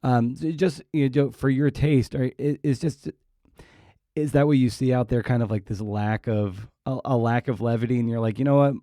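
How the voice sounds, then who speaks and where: A man's voice: mid-range voice, fairly even intonation, normal speed, warm tone, no echo, studio quality. A man hosting an interview podcast, talking casually and reflectively, often circling back and restarting mid-thought.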